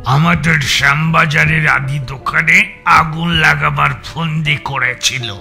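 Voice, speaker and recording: A man acts out a wounded old male shopkeeper in an audio story, his voice fairly high and very sharp and strained. He speaks slowly and haltingly, plaintive and helpless, over faint music. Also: fairly even intonation, gravelly, full character voice. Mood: aggrieved. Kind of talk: dramatised audio story acting.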